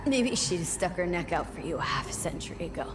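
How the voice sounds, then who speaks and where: An actress playing a wary, defiant, foul-mouthed young mercenary in a video game, speaking in a clipped, streetwise, sardonic way. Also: female voice, on the low side, very animated, fairly quick. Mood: skeptical, defiant. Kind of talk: video game dialogue acting. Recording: music behind the voice.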